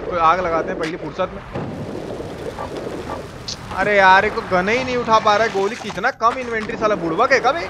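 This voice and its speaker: A man's voice: high-pitched, fairly quick, pauses often. A man doing casual, slangy live game commentary, grumbling at the game and chatting with viewers. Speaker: game streamer. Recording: noisy, game sound underneath. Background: video game sound effects.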